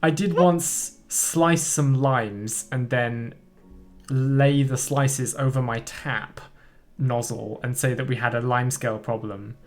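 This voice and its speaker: A man's voice: mid-range voice, takes it slowly, faint music behind. A man streaming a game with friends, reading its text aloud in a playful, punning, chatty way. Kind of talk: casual game stream chat and read-aloud narration.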